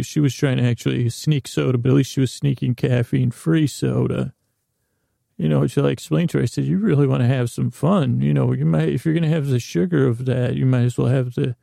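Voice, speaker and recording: A man with a heavily creaking, gravelly voice hosts a podcast in a very relaxed, muted tone. His pitch stays fairly even as he wanders through a comic monologue. He keeps stopping, restarting and losing the thread mid-sentence. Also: no echo, studio quality. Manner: halting, self-interrupting, trailing off mid-thought. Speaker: podcast host. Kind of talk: rambling, meandering comic monologue.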